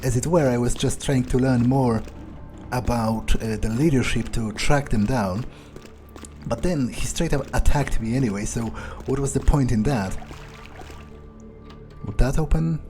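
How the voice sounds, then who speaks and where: Mellow man playing a game in character. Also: mid-range voice, unhurried. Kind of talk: in-character game playthrough commentary. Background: faint game music.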